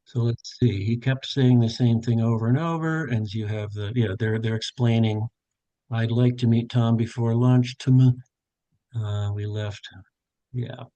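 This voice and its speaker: A man's voice: medium pitch, fairly even intonation, a little slow, mellow, no echo, dull audio. Older man thinking aloud on a video call. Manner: thinks aloud, narrating each step with frequent fillers and self-corrections. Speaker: tutor trainer. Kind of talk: live online workshop demo. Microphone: webcam on a video call.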